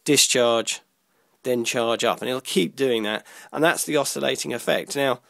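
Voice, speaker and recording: A man presenting an informal electronics tutorial, explaining step by step in a halting way, pausing mid-sentence. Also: medium pitch, gravelly, fairly bright tone, no echo.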